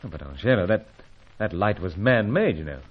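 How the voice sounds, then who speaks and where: An actor playing a gallant British secret agent in an old-fashioned radio serial, with hearty, gentlemanly speech. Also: male voice, sits low, animated, fairly quick, slight background noise. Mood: astonished, intrigued. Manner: hearty, clipped gentlemanly exclamations. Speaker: lead character and narrator. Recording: dull, band-limited old radio recording.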